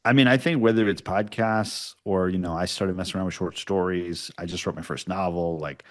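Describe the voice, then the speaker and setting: A man's voice: deep voice, a little fast. A man speaking informally and a little self-deprecatingly as a guest writer-producer in a casual interview. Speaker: guest writer-producer of podcast audio dramas.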